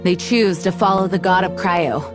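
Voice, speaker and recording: A woman voicing a dignified, earnest young knight commander in a video game, speaking in a formal, measured way. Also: lowish voice, natural voice. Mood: serious. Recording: music behind the voice.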